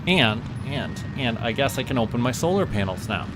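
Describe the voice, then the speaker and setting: A man's voice: medium pitch, very animated, normal speed, noisy background. A Canadian man giving casual, playful live commentary as he hosts a gaming stream, slipping into mock-official mission-control talk. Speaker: livestream gamer host.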